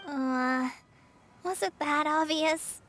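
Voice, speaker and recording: A woman's voice: sits high, slow pace, a bit bright, slight background noise. A woman voicing an anxious, self-doubting teenage girl ranger trainee in a video game, with a hesitant, earnest manner. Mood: troubled, confiding.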